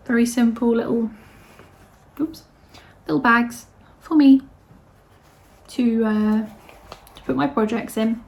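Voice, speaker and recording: Slightly hoarse woman chatting. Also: a little high. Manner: chatty and rambling, many fillers and restarts. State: slightly hoarse, losing her voice. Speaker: knitting podcast host. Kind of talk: casual chat.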